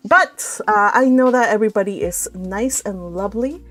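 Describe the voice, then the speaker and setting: A woman hosts a casual livestream with a chatty, animated delivery. Her voice sits in a middle register and she teases as she goes. Music plays softly behind her. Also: medium pitch, moderate pace. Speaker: host of a live read-along stream.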